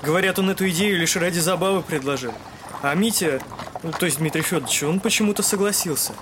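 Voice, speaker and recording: A man's voice: fairly high pitch, quick pace, background noise, lightly acted. An actor playing a polite, slyly teasing young man in an audio drama. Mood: deferential. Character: polite, sly, clever young man, poor relation.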